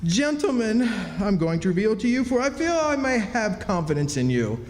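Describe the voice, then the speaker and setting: A man's voice: sits high, very animated, moderate pace. A man acting a haughty, self-pitying swindler who poses as a wronged duke, in melodramatic old-time radio drama style. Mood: mournful, grandiose. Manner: melodramatic, mournful, grandly put-upon. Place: stage in a reverberant historic club hall. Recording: echoey hall stage performance with music.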